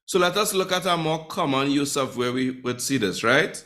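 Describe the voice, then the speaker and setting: A man's voice: on the high side, animated, normal speed, pushed and bright. A man teaching a live online Spanish class, chatty and teasing with his students.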